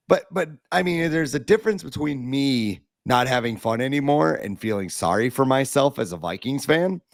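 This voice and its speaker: A man with a hoarse, raspy voice who has been ill talks in a dry, close setting with no room sound. He is blunt and animated, mixing complaints about his team with jokes at his own expense, at an ordinary pitch for a man. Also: no echo. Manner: blunt, animated, self-deprecating. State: hoarse from illness. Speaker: podcast co-host. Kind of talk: casual sports podcast talk.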